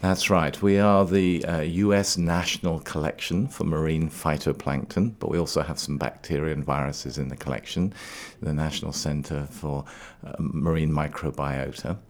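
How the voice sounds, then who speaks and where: A middle-aged man from Trinidad who later lived in Scotland, explaining things as an interview guest in a measured, articulate way. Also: extremely low pitch, fairly even intonation. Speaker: research laboratory director, interview guest.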